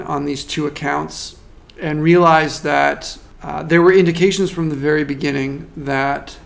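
A man working as a marketing consultant, giving a measured, thoughtful interview answer with frequent restarts. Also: on the high side, vocal fry. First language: not French.

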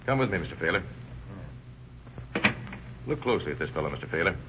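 An American actor playing a terse, authoritative police lieutenant in an old-time radio crime drama. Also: male voice, sits low, animated, fast. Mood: businesslike. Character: brisk, no-nonsense male police lieutenant leading a murder investigation. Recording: muffled lo-fi old radio recording, faint noise.

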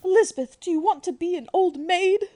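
A fretful middle-aged matchmaking mother, played by a woman in a radio play. Her voice is very high but soft-edged and mellow. She is imperious, theatrical and full of self-pity. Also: fairly quick. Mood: bossy, indignant. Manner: imperious, wailing, self-pitying. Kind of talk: theatrical radio drama acting.

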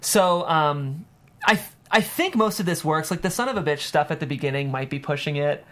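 A man's voice: fairly high pitch, normal speed, a bit bright. A man speaking as a guest screenwriter in a casual interview, explaining things thoughtfully.

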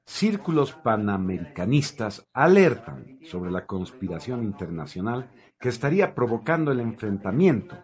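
A man's voice: fairly low pitch, very animated, moderate pace, few pauses, a little mellow. A man reading radio news, delivering headlines emphatically in a scripted style. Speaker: news presenter.

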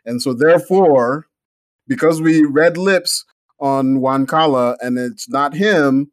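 A man's voice: medium pitch, takes it slowly, no echo. A man with a Jamaican accent co-hosting a football podcast, talking in a heated, indignant way with many restarts. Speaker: podcast co-host. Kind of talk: casual football podcast chat.

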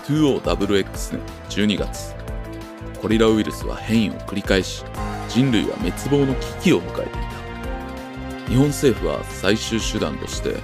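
A man playing the grave, deliberate narrator of a science-fiction radio drama. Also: on the low side. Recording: music behind narration.

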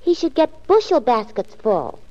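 An actress playing a scatterbrained, devoted young secretary in a 1940s radio drama, chatty and earnest. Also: female voice, a little high, sing-song, normal speed, darkish tone. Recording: dull 1940s radio recording.

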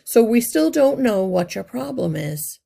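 A woman voicing an old, wise male rat leader in a storybook, measured and courteous as he explains. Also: on the high side, very animated, creaky, warm tone, voice pushed up, full character voice.

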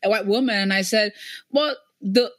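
Female podcast host, medium pitch, conversational and reflective, often tagging points with a question, very little room sound. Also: moderate pace, no echo. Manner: reflective, punctuates points with "right?". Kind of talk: conversational interview, personal anecdote.

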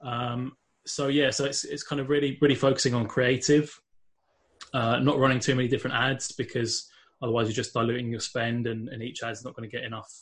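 A man's voice: medium pitch, little pitch movement, fairly quick, slight background noise. A man with a London accent, a guest on a podcast, talking casually with many fillers and restarts. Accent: London English. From England. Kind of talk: casual interview talk.

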